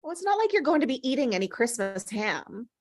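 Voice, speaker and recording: A woman performs an older female ghost with a higher-set voice and a very dry sound. She is melancholy yet sly, teasing with theatrical sighs and wry turns, as in a TV drama script read. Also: big pitch swings, a little fast, dry recording. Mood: coaxing, sly. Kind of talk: acted table read of a TV script.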